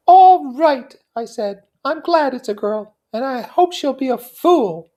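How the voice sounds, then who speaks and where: Man putting on a shrill, theatrical young society woman, Daisy. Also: expressive, takes it slowly, warm tone, heavily acted. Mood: confiding, dramatic. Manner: theatrical, exaggerated, gushing. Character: Daisy, breathy young society woman, dramatic, cynical, flighty.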